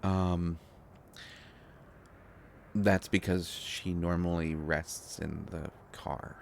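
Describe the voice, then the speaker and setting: Man acting a flustered devoted boyfriend, low voice, slow halting delivery, bashful stammer, intimate audio roleplay. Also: steady tone, gravelly, slight background noise, full character voice. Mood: nervous, defensive. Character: shy, flustered, devoted tech-savvy inventor boyfriend, young man.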